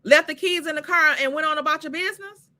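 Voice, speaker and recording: Bright, sassy woman host. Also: high-pitched, quick pace. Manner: sassy, exasperated, laughs often. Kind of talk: casual live commentary on reality drama.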